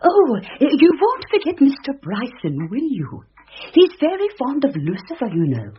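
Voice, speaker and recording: An actress playing a kindly, genteel elderly spinster in a radio drama, talking in a fluttery, fussing way. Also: female voice, high-pitched, huge pitch swings, a little slow, muffled recording, heavily acted. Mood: chatty. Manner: genteel, fluttery, wide-swinging voice.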